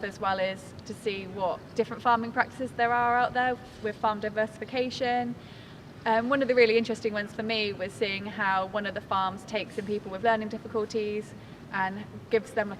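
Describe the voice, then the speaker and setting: A woman's voice: fairly high pitch, fairly bright tone. A young woman, speaking fluently and chattily in a casual interview. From England. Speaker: youth rally participant. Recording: distant, reverberant, faint noise.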